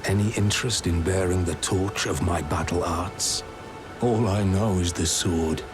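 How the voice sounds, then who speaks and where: Gruff veteran swordsman, low voice, unhurried pace, laconic, friendly mentor, fantasy game, music behind. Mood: measured, welcoming. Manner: plain-spoken, laconic, unhurried. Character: gruff, seasoned male warrior, friendly battle-arts mentor. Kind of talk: video game character dialogue.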